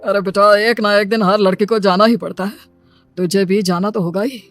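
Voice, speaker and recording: A woman acts out an aging, loving father in a cartoon story. The manner is gentle and fatherly, at a middling pitch. Soft music sits underneath. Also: steady tone, normal speed, natural voice. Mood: fond, resigned. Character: loving, self-sacrificing aging widowed father. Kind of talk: dramatized cartoon moral story.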